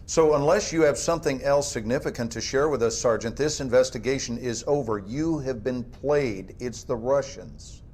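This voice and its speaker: An actor playing a cold, dismissive government interrogator in a game cutscene. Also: male voice, mid-range voice, normal speed, hardly any pauses, darkish tone, a little hiss or hum, natural voice. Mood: stern. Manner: clipped, dismissive, authoritative.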